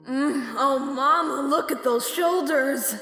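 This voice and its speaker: A woman's voice: sits high, takes it slowly, pushed and bright, full character voice. A woman voicing a brash, lusty adventurer pegasus mare who mutters cocky asides to herself in a comedic audio story. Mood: smitten. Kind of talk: character acting in a comedic audio story reading. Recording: roomy reverb over background music.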